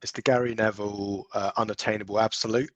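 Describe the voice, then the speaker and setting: A man's voice: fairly low pitch, level tone, fast, no echo. A man co-hosting a football podcast, chatty and teasing in casual talk. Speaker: podcast co-host. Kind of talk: casual chat.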